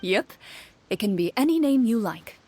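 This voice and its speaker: A woman playing a composed game master who explains rules in a measured, instructive manner in an anime game. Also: fairly high pitch, big pitch swings, mellow, a little hiss or hum. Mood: helpful.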